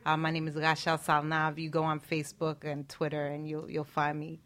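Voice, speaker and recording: Hesitant woman guest with bright, lowish voice. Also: completely flat delivery, normal speed. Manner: hesitant, self-deprecating. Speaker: talk show guest, documentary filmmaker. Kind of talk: casual chat.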